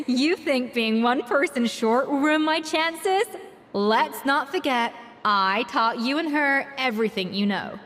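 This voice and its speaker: Proud, high-voiced master chef. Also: female voice, animated, slow pace, a bit bright, slight background noise, full character voice. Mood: firm, assured. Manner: confident, formal, articulate. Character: proud, self-assured, exacting female master chef. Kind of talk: anime game voice acting. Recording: slight hall reverb, music behind.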